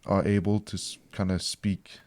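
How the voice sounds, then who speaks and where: A man's voice: low voice, little pitch movement, normal speed, darkish tone, dry recording. Hesitant young man. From South Africa. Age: young adult. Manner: hesitant, many ums and restarts. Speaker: guest. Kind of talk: casual podcast chat.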